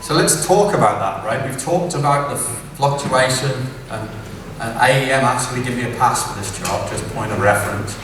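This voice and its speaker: A middle-aged English man delivers a conference keynote in a casual, chatty way, tagging his points with "right?". He sits at an average pitch and sounds far from the mic. The hall gives heavy reverb, and clear background noise runs under him. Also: normal speed. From England. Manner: conversational, keeps asking "right?". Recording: echoey distant hall recording with background noise.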